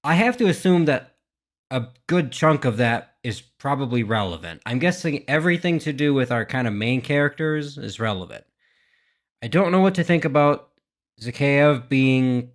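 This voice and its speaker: A man hosts a gaming reaction video in a middle-pitched voice. He talks casually and without a script, working through his thoughts aloud with hesitations. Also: lively intonation, normal speed. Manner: hesitant, thinking aloud, mild swearing. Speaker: YouTube gaming reaction host. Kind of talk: casual unscripted reaction commentary.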